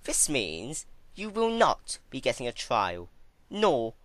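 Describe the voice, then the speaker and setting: A man's voice: medium pitch, huge pitch swings, takes it slowly, fairly bright tone, no echo, pitched above the performer's own voice, exaggerated voice. A man voicing a young female pony tyrant, teasing and gloating in a mockingly cheerful way in a dramatic story reading. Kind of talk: dramatic fan fiction reading.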